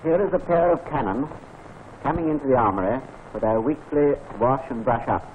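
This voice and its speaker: A British man giving measured, formal narration for a wartime training film. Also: medium pitch. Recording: tinny 1940s film soundtrack with faint hiss.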